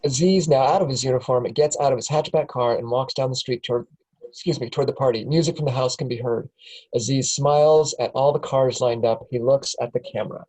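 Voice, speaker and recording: Brief: man reading action lines at a table read, husky voice, mid pitch. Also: a little fast, dark tone, poor audio. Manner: plain reading, small chuckles and restarts. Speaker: narrator reading stage directions. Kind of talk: script table read narration.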